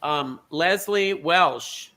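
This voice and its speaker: A man hosting an online bingo show, teasing his guests with quick, campy asides. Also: on the high side, pushed and bright.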